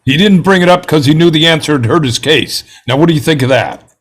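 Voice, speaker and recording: Man acting a belligerent, high-pitched, impatient juror. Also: quick pace, lightly acted. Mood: combative. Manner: argumentative, scornful, street-tough talk. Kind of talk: play table read.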